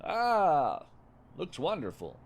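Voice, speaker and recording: A man playing a gentle, whimsical naturalist in a fiction podcast, narrating with folksy, reflective wonder and deliberate phrasing. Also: a little high, wildly swooping pitch, exaggerated voice. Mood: warm, grateful. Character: gentle, whimsical male naturalist marvelling at strange creatures. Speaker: host and narrator. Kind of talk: scripted fiction podcast narration, storytelling monologue.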